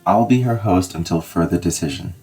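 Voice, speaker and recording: A man playing a kind, deep-voiced nobleman. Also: brisk, darkish tone, soft background music, lightly acted, low-quality recording. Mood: courteous. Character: male Scottish nobleman, kindly and protective, adult. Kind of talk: fan audio drama acting.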